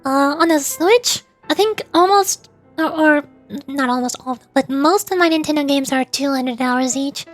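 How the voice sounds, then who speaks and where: Female VTuber host, high-pitched and chatty. Casual, rambling game-stream talk, faint music behind. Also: moderate pace.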